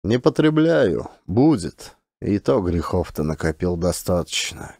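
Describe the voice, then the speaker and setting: Audiobook role: long-suffering little woodsman, voiced by a male reader, mild and rustic, mellow voice with lively intonation. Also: deep voice, unhurried, no room sound, studio quality, pitched below the performer's own voice, heavily acted. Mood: meek, resigned. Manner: simple folksy rural speech, mild, resigned. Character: small thin meek forgiving peasant forester, long-suffering husband.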